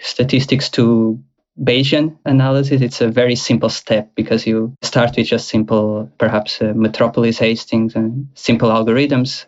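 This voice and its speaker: A young man explains his path in a relaxed, thoughtful voice of middling pitch. The recording sounds dull and slightly muffled. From Portugal. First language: Portuguese. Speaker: guest. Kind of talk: casual interview answers.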